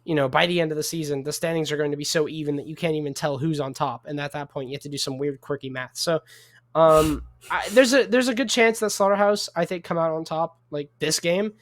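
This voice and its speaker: A man co-casting an esports match, upbeat and rambling, quick to joke. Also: a little high, fairly even intonation, a bit bright, dry recording. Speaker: esports caster. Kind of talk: casual esports co-commentary.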